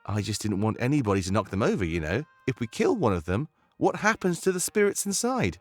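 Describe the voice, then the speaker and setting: A man's voice: medium pitch, very animated, brisk, dry recording, soft background music. A man voicing an earnest rebel guide in a visual novel game, conscience-stricken but with a playful streak, talking in a musing, conversational way. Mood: earnest, confiding.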